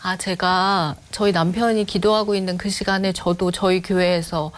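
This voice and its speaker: Polite woman with a lowish voice. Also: fairly even intonation, normal speed. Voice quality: low-toned voice. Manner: polite, deferential formal speech. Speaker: interview guest. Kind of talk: conversational personal testimony.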